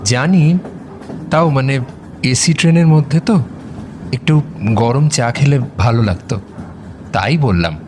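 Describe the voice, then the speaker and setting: A considerate young man played by an actor with a middling pitch, a little shy and hesitant. It is audio drama dialogue with clear vehicle noise around the voice. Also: measured pace, long pauses, close to an everyday voice. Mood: casual, tentative. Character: considerate, slightly shy young male friend on a trip. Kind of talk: Bengali audio drama acting. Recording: vehicle ambience noise over voice.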